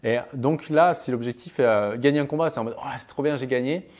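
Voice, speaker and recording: A man's voice: medium pitch, fairly quick, darkish tone. A man speaking as a mental performance coach, giving advice and anecdotes emphatically, with punchy little interjections that punctuate his points. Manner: emphatic, punctuates speech with sound-effect interjections.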